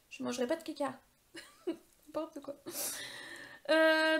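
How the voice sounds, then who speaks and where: High-voiced chatty woman in her thirties. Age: 30s. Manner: chatty and rambling, lots of hesitations, self-mocking humor. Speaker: beauty YouTuber. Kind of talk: casual Q&A vlog.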